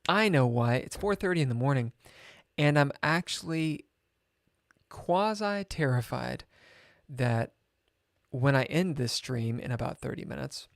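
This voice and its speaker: Slow, sardonic ranting man. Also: medium pitch, expressive, creaky, no echo, studio quality. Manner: sardonic, swears, swings between loud outbursts and whispered asides. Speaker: chess streamer. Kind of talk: rambling storytelling on a live stream.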